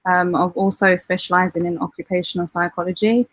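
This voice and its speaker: A woman, a guest on a video call, speaking in a measured way with some ums. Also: on the low side, level tone, no room sound. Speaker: guest, production director. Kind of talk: casual video call chat.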